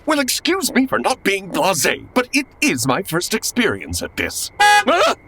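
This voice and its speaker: A man's voice: sits high, wildly swooping pitch, measured pace, pushed and bright, slightly rough, full character voice. An actor playing a stuffy, bewildered and irritable man in a parody of old-time radio, fussy and indignant.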